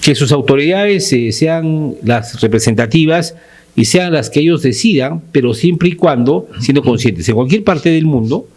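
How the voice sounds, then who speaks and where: A man's voice: fairly high pitch, expressive, normal speed, a little mellow. A man from Peru debating on a talk panel, pressing his point with rhetorical questions.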